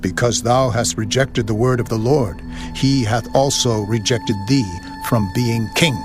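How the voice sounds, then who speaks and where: A man's voice: medium pitch, fairly even intonation, over music, natural voice. An actor playing a stern male prophet who rebukes a king in a dramatized scripture reading. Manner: stern, reproving, measured.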